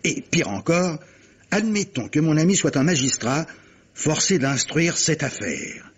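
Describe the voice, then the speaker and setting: An actor playing a grave gentleman captain in a radio mystery, speaking in a measured, insistent, formal way, in a 1950s French style. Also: fairly high pitch, very animated, slightly rough, lo-fi sound, exaggerated voice. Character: grave gentleman captain pressing a guilty woman with hypotheticals. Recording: old radio drama recording.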